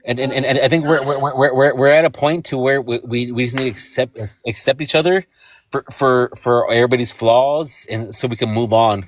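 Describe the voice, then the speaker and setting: A man in his forties calling into a live stream, speaking haltingly and repeating words as he restarts his sentences. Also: medium pitch, no echo. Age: 40s. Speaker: guest caller. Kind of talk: casual debate on a live stream.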